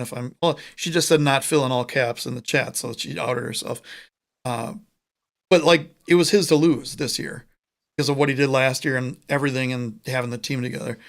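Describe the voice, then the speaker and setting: A man from the United States co-hosting a casual sports podcast over a remote call, chatty and joking, with quick asides. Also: fairly high pitch, clean tone. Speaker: podcast co-host.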